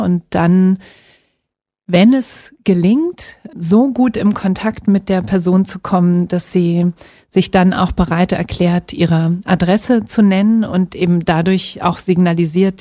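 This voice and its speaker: A middle-aged woman from Berlin, a psychologist answering interview questions in a thoughtful, measured way with small pauses and soft laughs. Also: on the low side, dry recording, studio quality. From Berlin, Germany.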